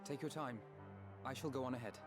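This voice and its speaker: An actor playing a courteous, composed companion in a fantasy game cutscene, speaking in polite, formal phrases. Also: male voice, mid-range voice, a little fast, natural voice. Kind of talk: dramatic video game cutscene acting. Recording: music behind the voice.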